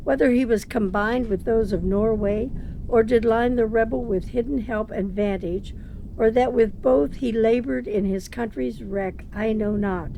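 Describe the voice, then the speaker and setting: A woman's voice: on the high side, a little slow, gravelly, highs cut off, slight background noise. A woman playing a grave, courtly royal envoy in a formal Shakespeare reading. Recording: faint background ambience.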